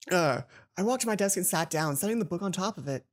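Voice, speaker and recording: A woman reading a visual novel aloud for a let's play, expressive, with casual asides of her own. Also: lowish voice. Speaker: let's player.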